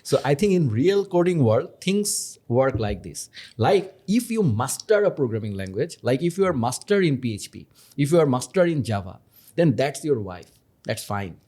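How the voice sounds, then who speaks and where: A man's voice: medium pitch, very animated, moderate pace, mellow. A man from Bangladesh, Bangla his native language, hosting a casual chat, echoing his guest's points and stumbling over words now and then. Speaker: host. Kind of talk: casual podcast conversation.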